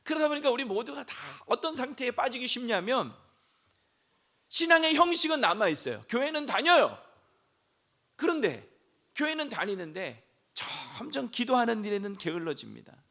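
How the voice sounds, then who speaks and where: A man preaching a sermon as senior pastor, hoarse from a throat cold, asking the congregation questions and rising to shouts. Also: very high voice, very animated, moderate pace. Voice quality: gravelly.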